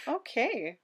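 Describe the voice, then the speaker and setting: A woman's voice: sits high, slightly rough. A woman hosting a podcast, talking casually as an interviewer. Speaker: podcast host.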